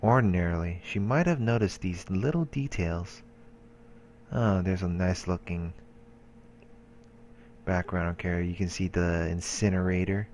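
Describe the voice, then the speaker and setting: A man's voice: low voice, unhurried, pauses often, a little mellow, slight background noise. A man hosting a let's play, reading game narration aloud with occasional casual asides.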